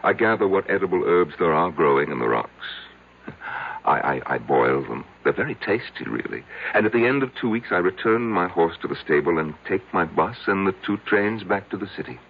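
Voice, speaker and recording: Very deep, gravelly, halting broken man. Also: lively intonation, exaggerated voice. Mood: wistful, earnest. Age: middle-aged. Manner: halting, hesitant, repeating himself. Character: petty, self-loathing, lonely, betrayed husband confessing to his psychiatrist. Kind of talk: radio drama acting. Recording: tinny, band-limited old radio broadcast.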